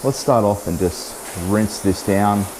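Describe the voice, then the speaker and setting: A man hosting a car detailing vlog, talking casually about a product test while losing his voice, a little hoarse, with plenty of "um" and "so yeah". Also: lowish voice, a little slow, background noise. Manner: relaxed, chatty, frequent "um" and "so yeah". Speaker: car detailing channel host. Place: outdoors beside a test panel.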